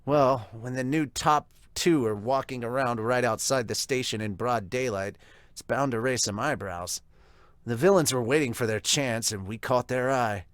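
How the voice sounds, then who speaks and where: A man's voice: medium pitch, dry recording, lightly acted. A man voicing a laid-back, teasing young male hero with wings.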